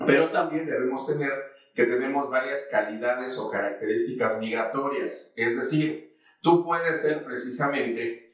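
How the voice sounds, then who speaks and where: Male host explaining legal terms, mid-pitched, heard from a distance in a roomy space. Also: unhurried, a bit bright. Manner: direct address, rhetorical questions. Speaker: show host. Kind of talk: explaining the law to viewers. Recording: echoey, distant from the mic.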